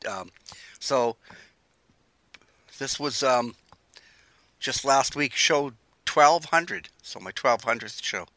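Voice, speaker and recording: An older man hosting a radio show, chatting in a relaxed, rambling way. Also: medium pitch, slow pace, pauses often, no echo. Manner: relaxed, chatty, wandering sentences. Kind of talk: casual interview chat.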